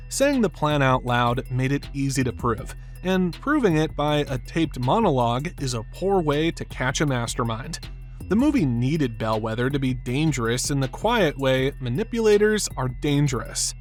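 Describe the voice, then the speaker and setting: Animated, higher-voiced male video narrator. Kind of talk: scripted film analysis narration.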